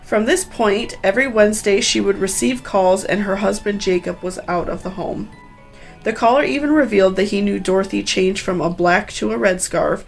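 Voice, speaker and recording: A woman tells a true crime story as its host, moving between scripted narration and personal asides. Her voice sits at a medium pitch with a clearly creaky edge. She sounds earnest and cautionary, over a soft bed of music.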